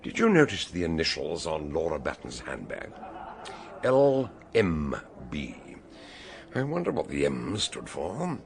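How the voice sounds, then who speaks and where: A man acting a shrewd, genial gentleman detective with a British accent in a radio drama, musing and courteous, a little theatrical. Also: a bass voice, wildly swooping pitch, unhurried, gravelly, full character voice.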